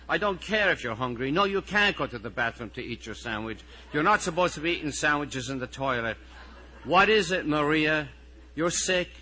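A harried Irish schoolteacher played by a man reading his story aloud pushes his voice high and loud. He is exasperated and pleading, with a bright, forceful edge as he questions his pupils. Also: rough recording.

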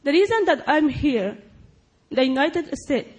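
A woman's voice: high voice, sing-song, a little slow. A young woman from Afghanistan, not a native English speaker, asking a question politely and hesitantly. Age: young adult. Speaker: audience member asking a question. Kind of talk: audience question.

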